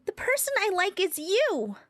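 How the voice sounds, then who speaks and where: A woman's voice: extremely high pitch, wildly swooping pitch, moderate pace, full character voice. A woman voicing a sweet, earnest young girl in love in a cartoon. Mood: tender.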